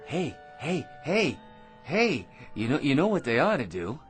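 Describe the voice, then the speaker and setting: An actor playing a tipsy, cheeky barroom blowhard who rambles, stammers and wheedles in an old-time radio drama style. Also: male voice, a little high, very animated, unhurried. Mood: tipsy, opinionated. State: drunk. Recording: music behind radio drama dialogue.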